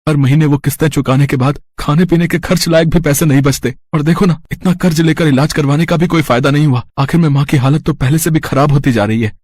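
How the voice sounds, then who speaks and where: A man's voice: fairly high pitch, steady tone, fast, few pauses, darkish tone, no echo, natural voice. A man voicing a poor labourer husband in a moral story, a caring son who speaks earnestly and with worry. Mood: despairing. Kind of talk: dramatised Hindi moral story.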